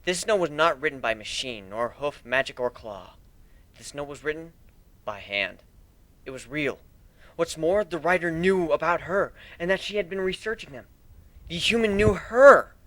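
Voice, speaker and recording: Man narrating a story with lively pitch. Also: normal speed, dry recording. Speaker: amateur narrator. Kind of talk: dramatic reading of fan fiction.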